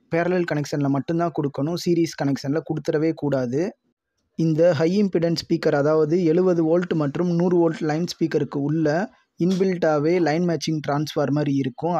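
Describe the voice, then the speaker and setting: Mellow, higher-pitched man explaining methodically. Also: dry recording. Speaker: tutorial presenter. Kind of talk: scripted instructional narration. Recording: dull lo-fi audio.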